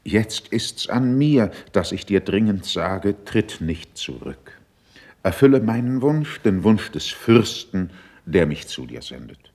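A man acting a composed, persuasive courtier in a classical verse radio play, with a husky voice and a deliberate, courteous, emphatic delivery. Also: lowish voice, sing-song, a little mellow, full character voice. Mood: urgent. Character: composed, diplomatic male courtier, persuasive and cautious.

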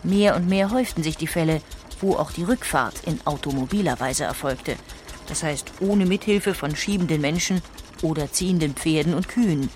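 Female documentary narrator, lowish voice, composed literary delivery for an audiobook. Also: moderate pace, darkish tone, slight background noise. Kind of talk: scripted documentary narration with read quotations.

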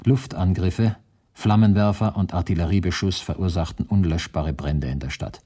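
A man's voice: deep voice, moderate pace, over loud music, lightly acted. A man playing a grim former soldier, giving sober, matter-of-fact testimony in a scripted radio drama.

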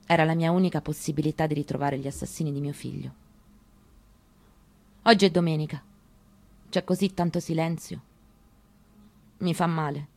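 An actress playing an elderly mother grieving her murdered son in an intimate, reflective radio theatre monologue, in short phrases. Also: on the low side. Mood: lonely, sad.

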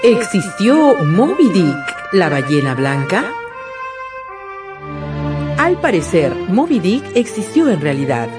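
A woman presenting a short informative radio segment, in a crisp, expressive way. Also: on the low side, takes it slowly, pauses often. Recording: trumpet music behind.

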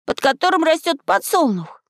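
A woman voicing an earnest, curious and bold seven-year-old boy in a storybook, asking clear, insistent questions. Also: very high-pitched, big pitch swings, moderate pace, fairly bright tone, dry recording, studio quality, voice pushed up, full character voice. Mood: bold, ringing.

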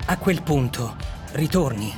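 A man's voice: mid-range voice, expressive, a little slow, over music, close to an everyday voice. A man playing an anxious, sceptical friend with an incredulous, questioning manner in a radio drama. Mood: doubtful, anxious.

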